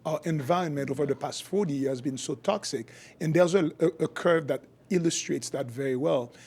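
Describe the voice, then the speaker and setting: A man's voice: fairly high pitch, fairly quick, darkish tone, husky. A middle-aged man from Haiti, a physician guest explaining his work and views in a podcast interview. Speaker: guest, functional medicine physician.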